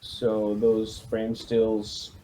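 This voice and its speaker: A man's voice: lowish voice, completely flat delivery, drawn-out words, mellow, slight background noise, poor audio. A man leading a squad in a tabletop roleplaying game, briefing it with military jargon and command phrasing over an online call.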